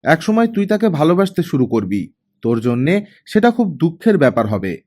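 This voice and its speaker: A man's voice: fairly high pitch, very animated, brisk, a little mellow. A man voicing a stern, guarded young woman, an elder sister with a curt, admonishing manner, in an audiobook story. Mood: cautioning, serious.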